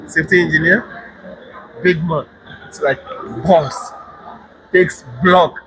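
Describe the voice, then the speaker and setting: A man's voice: a little high, steady tone, takes it slowly. Man, casual interviewer, informal, teasing, faint background noise. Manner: informal, frequent backchannels, teasing.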